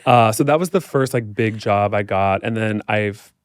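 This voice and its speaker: A man with a slightly low, clean and resonant voice, recorded dry and close. He chats casually as an interview guest, telling personal stories with candid, slangy animation. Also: normal speed, clear, resonant tone, no echo. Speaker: podcast guest, performer. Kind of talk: casual chat, personal storytelling.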